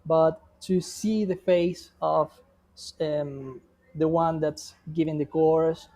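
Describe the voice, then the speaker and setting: Slow, halting man with a dark voice. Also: a little high, fairly even intonation. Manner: halting, frequent restarts and fillers. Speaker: guest marketing expert. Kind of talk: reviewing examples in conversation.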